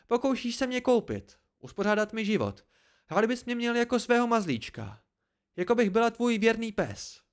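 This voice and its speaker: A man voicing a proud, angry, sharp-tongued young woman in an audiobook story, with biting, indignant outbursts. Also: medium pitch, lively intonation, hardly any pauses, close to an everyday voice. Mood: bitter, indignant.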